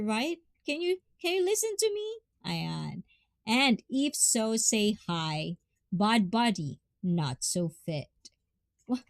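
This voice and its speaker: A woman with a Filipino accent chats her way through a livestream, thanking viewers and giving heartfelt advice. She talks slowly and unhurriedly, laughing often. The sound is dry and close, with almost no room echo. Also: mid-range voice, darkish tone. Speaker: livestream host.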